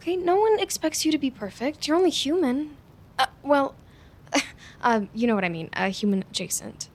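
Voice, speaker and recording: Flustered, high-voiced anxious young woman. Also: expressive, moderate pace. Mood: reassuring, eager. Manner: flustered, stammering, wordy. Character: anxious, overeager best friend covering up her vampire friend's killings. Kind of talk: audio drama dialogue.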